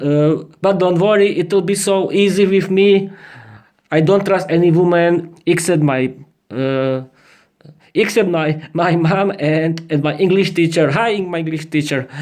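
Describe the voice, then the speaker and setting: A man's voice: a little high, a little slow, darkish tone. A man in his thirties with a Slovak accent, improvising a podcast monologue in hesitant learner English, often repeating and restarting his phrases. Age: 30s. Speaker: podcast host.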